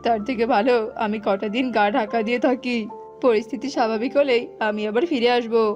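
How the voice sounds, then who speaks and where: A woman's voice: fairly high pitch, fairly even intonation, fast, lightly acted. A woman as a muffled, callous jackal uncle. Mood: scheming. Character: callous younger jackal uncle, shirking and grudging. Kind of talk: cartoon voice acting. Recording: muffled, music underneath.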